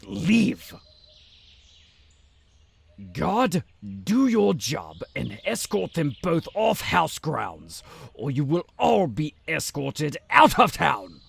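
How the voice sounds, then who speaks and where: An actor playing a pompous, conniving town advisor in a fantasy audio drama, haughty and mock-polite in a drawn-out delivery. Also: male voice, fairly high pitch, wildly swooping pitch, gravelly, breathy edge, exaggerated voice. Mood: enraged. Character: pompous, conniving male town advisor, smug and easily enraged. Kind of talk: fantasy audio roleplay acting.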